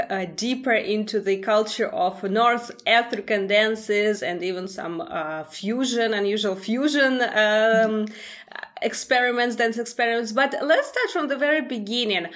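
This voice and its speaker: A woman hosting a dance podcast, asking slow questions with frequent fillers. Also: on the high side, a bit bright. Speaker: podcast host. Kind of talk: podcast interview and ad read.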